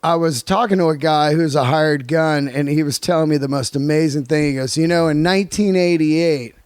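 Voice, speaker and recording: A man's voice: on the high side, fairly quick, darkish tone, clear, resonant tone, no room sound, studio quality. A man hosting a casual podcast conversation, speaking emphatically and in slangy language, often repeating himself for effect. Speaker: podcast host, comedian and musician.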